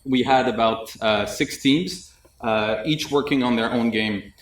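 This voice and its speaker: A young man giving a technical conference talk, relaxed and chatty, with light jokes and frequent "uh" fillers. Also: medium pitch, a little slow. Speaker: conference presenter. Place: conference room with audience, indoors. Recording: echoey room, mic at a distance.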